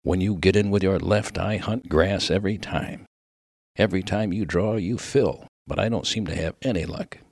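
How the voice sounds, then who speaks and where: Guy doing a low rough-and-ready western miner, folksy american twang, slangy, dry sound, comic storybook. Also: moderate pace, a little mellow, dry recording. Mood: puzzled. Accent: rustic American. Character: rough, good-natured Old West miner full of slang. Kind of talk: dramatic reading of a humorous story.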